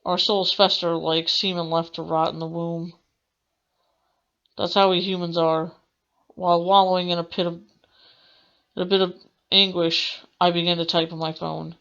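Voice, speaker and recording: A woman's voice: on the low side, level tone, normal speed, pauses often, fairly bright tone, dull audio. A woman hosting a gaming let's play, reading aloud in a dramatic manner with the odd stumble. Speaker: gaming channel host.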